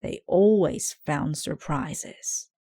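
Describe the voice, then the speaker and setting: A woman narrates a children's storybook in a fairly low voice. She reads very slowly, and the sound is dry and close. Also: gravelly, warm tone, husky, no echo. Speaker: storybook reader.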